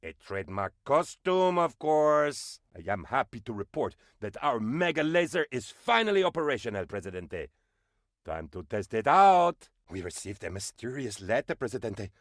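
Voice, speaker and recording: A super eager suck-up presidential aide for a funny game, melodramatic, voice bouncing all over, dry studio sound. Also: male voice, mid-range voice, moderate pace, dry recording. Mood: deferential. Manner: obsequious, excitable, melodramatic. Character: eager fawning male presidential aide in a Caribbean republic. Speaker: game advisor character. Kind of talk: theatrical comedic game voice acting.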